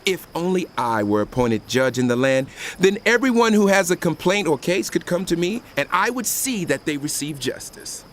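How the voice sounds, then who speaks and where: A proud, persuasive young prince in a scripture audio drama. His voice is high and swings widely as he declaims insistently, with light noise behind. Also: sing-song, moderate pace, hardly any pauses, fairly bright tone. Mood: ingratiating.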